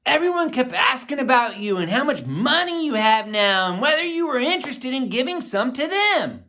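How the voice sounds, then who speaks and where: A man's voice: extremely high pitch, big pitch swings, moderate pace, bright, forceful tone, voice pushed up, full character voice. A man voicing an eager, business-minded schoolboy in an audiobook story, with an emphatic delivery. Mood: excited.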